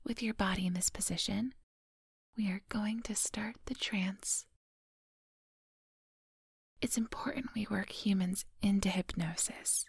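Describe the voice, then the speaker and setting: A female vampire hypnotist, played by a woman murmurs in an extremely breathy whisper with a touch of creak. She takes her time, coaxing and quietly menacing. The ASMR roleplay sounds close and very dry. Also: mid-range voice, dry recording, exaggerated voice. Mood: coaxing, controlled. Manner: slow, coaxing, hypnotic instructions. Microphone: close microphone, dry.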